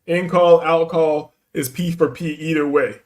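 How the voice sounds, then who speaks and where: High-pitched guy ranting in street slang, blunt and profane, reacting to clips. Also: steady tone, a little slow. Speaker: stream reaction host. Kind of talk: casual reaction commentary.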